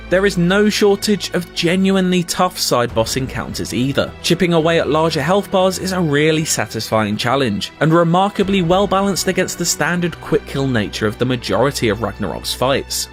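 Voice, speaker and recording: A man reading a scripted video game review as a voice-over narrator. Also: fairly high pitch. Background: music under the voice.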